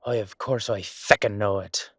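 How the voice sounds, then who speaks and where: A man with an Irish accent playing a hot-headed, loyal knight in a roleplay audio drama, gruff and colloquial with a wry streak. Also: fairly low pitch, steady tone, normal speed, fairly bright tone, dry recording, clean studio sound. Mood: angry, defiant.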